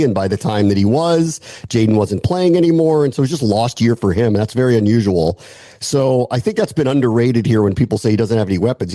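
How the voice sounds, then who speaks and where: A man's voice: fairly low pitch, dark tone, no room sound. An American man talking through football analysis as a guest, conversational and opinionated, often posing questions and weighing the answers aloud. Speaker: sports analyst, podcast guest.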